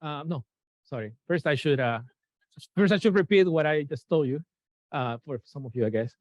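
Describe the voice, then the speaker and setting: A man's voice: a little high, moderate pace, darkish tone, dry recording. Hesitant man lecturing. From Peru. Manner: hesitant, many fillers, self-correcting. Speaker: lecturer, NASA chemist. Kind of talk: informal science talk. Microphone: room mic at a lecture, Zoom shared. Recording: dull, dry lecture recording.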